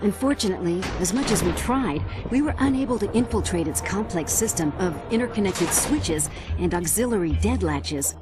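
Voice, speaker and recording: An actress playing a poised, lightly flirty spy who gives mission briefings in a video game. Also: female voice, medium pitch, lively intonation, moderate pace, few pauses, over music, lightly acted. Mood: matter-of-fact.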